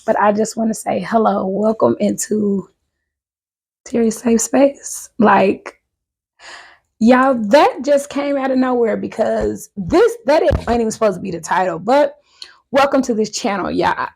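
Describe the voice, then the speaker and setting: A woman in her thirties chatting casually and a bit nervously to her viewers, her voice hoarse from recently losing it. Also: fairly high pitch, unhurried, a little mellow. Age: 30s. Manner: chatty, nervous, talks straight to viewers as "y'all". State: voice recovering from loss, hoarse. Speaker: vlogger. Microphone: handheld wireless mic.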